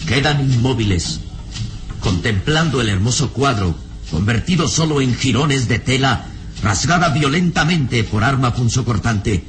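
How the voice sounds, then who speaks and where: An actor playing the solemn, suspenseful narrator of a mystery radio serial, with theatrical delivery. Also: male voice, mid-range voice, animated, unhurried, natural voice. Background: music.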